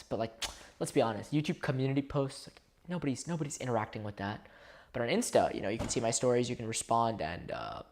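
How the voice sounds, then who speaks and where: Rambling young-sounding male streamer. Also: mid-range voice. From India. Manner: rambling, restarts, chatty asides to viewers. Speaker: livestreamer. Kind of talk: casual live-stream chat.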